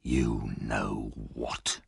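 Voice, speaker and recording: A man's voice: extremely low pitch, little pitch movement, drawn-out words, vocal fry, slightly rough, dry recording, studio quality, pitched below the performer's own voice, full character voice. A man voicing a brutal, hot-tempered robber in an audiobook, threatening and aggressive. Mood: murderous.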